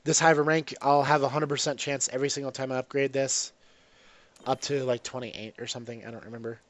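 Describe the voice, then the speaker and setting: A man's voice: mid-range voice, steady tone, fast, no echo. A man with a congested voice from a cold, casually commenting on his own gameplay with lots of ums and restarts. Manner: rambling, self-correcting, frequent ums.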